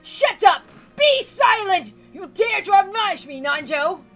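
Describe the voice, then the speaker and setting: An aged, tyrannical patriarch from a visual novel voiced by a woman, raving and bombastic. The voice is pitched high and bellows with theatrical, drawn-out words, over faint music. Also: sing-song, fairly bright tone, heavily acted. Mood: furious.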